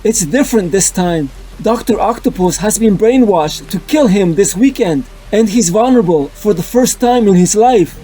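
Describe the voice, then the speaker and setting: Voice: medium pitch, animated, normal speed, hardly any pauses, mellow, slightly rough, rough recording. A performer voicing a young male superhero who is earnest, apologetic and set on a hard choice, in a fan dub of a motion comic. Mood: resolute. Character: young male superhero, guilt-ridden and determined.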